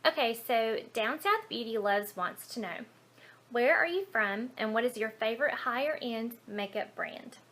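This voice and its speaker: Woman, Southern American accent, bright forward tone, slightly high pitch, chatty, informal, self-deprecating vlog style. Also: unhurried. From Alabama, United States. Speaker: beauty vlogger. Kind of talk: casual chatty Q&A to camera.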